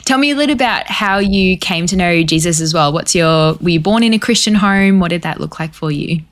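A woman's voice: fairly low pitch, expressive, brisk. A woman guest-hosting an interview, asking questions in a friendly, encouraging way. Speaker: guest host.

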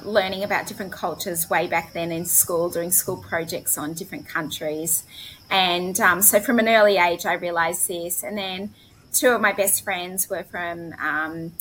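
A woman with an Australian accent talks casually about her own life as an interview guest. Her voice is mid-pitched, with faint noise behind it. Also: sharp tone, poor audio. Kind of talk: casual personal storytelling. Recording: clear background noise.

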